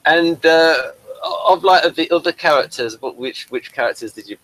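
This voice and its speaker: Bright-voiced man chatting loosely. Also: a little high, moderate pace, dry recording, low-quality recording. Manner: rambling, loose and chatty, addresses viewers as folks. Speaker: host and playwright. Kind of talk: casual conversational interview.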